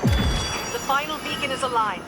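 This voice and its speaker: A crisp mission handler. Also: female voice, high voice, measured pace. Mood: urgent. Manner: crisp, businesslike radio briefing. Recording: game dialogue over loud music.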